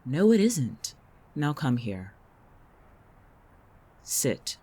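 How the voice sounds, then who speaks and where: Audio drama role for an actor: sardonic, calculating town local, sharp-tongued and irritable, animated in pitch. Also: drawn-out words, breathy edge, exaggerated voice. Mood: probing, cold. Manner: biting, sarcastic, dry-witted.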